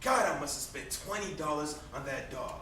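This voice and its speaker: Guy acting as a needling big brother in a stage play, kinda high bright voice, way off from the mic. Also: lively intonation, moderate pace. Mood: animated reminiscing. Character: teasing, prodding young man, protective older brother. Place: indoor stage, recorded from afar. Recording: echoey stage, distant noisy camera microphone.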